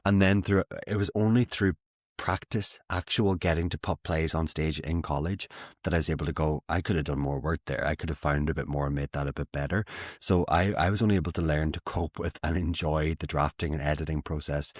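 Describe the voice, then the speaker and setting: An Irish man, a theatre maker, chatting as a guest in an interview, animated and self-mocking with frequent restarts. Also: very deep voice, brisk, no echo, clean studio sound. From Ireland. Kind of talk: casual interview chat.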